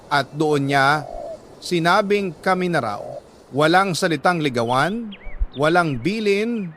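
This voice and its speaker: A Filipino man reads a first-person letter as dramatic scripted narration, in short, measured phrases. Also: fairly high pitch, animated, fairly bright tone, slight background noise.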